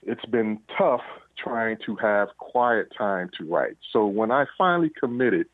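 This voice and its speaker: A man's voice: on the low side, animated, unhurried, dry recording. A middle-aged man, an author being interviewed, telling his story in a casual conversational way. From United States. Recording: dull, lo-fi radio-like line.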